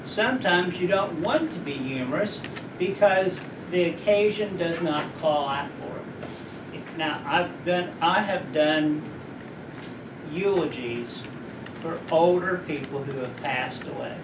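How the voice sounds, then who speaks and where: A middle-aged man with a high voice giving an informal, rambling talk to students and asking them questions. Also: slow pace. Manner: rambling, anecdotal, asks the audience questions. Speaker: guest speaker. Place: college lecture room. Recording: echoey room, distant mic, background noise.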